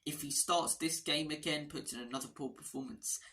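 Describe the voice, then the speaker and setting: Hoarse guy rambling through a match preview, bright forceful voice, keeps restarting his phrases. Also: a little high, fairly even intonation, fairly quick. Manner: chatty, digressive, restarts phrases. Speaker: fan channel host. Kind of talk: casual football preview monologue.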